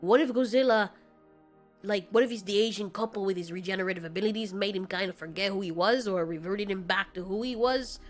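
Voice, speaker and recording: A woman's voice: medium pitch, a little fast, natural voice. Woman doing a cold fire demon monster guy, blunt n sarcastic, talks slangy, music behind. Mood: matter-of-fact. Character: Rodan, cold blunt male fire demon pterosaur kaiju.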